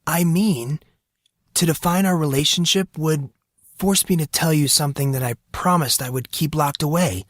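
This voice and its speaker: A man's voice: fairly high pitch, moderate pace, no echo, studio quality, pitched above the performer's own voice, natural voice. A man voicing a defensive, exasperated fourteen-year-old boy with an earnest, evasive manner in an audiobook. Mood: earnest, guarded. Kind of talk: audiobook character voice.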